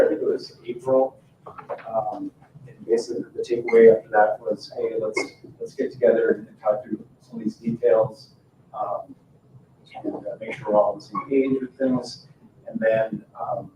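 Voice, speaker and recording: A man's voice: mid-range voice, dark tone. Man presenting in an echoey meeting room. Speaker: consultant presenting to a board. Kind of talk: informal presentation at a public meeting. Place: indoor town board meeting room. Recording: echoey room, distant mic, faint noise.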